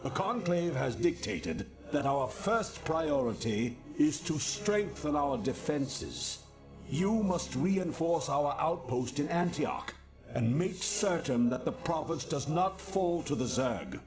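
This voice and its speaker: A stern alien judicator from a sci-fi game, pompous and formal. His delivery is slow and deliberate with dramatic swings of pitch, over loud game music. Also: big pitch swings. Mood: solemn, authoritative. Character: stern, haughty alien judicator counselling a commander. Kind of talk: scripted sci-fi video game briefing.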